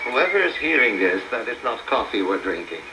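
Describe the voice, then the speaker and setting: A man's voice: mid-range voice, very animated, fairly quick, reverberant, noisy background. A man being interviewed on a shortwave radio broadcast, choosing his words carefully with hesitations and formal phrasing. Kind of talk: conversational interview.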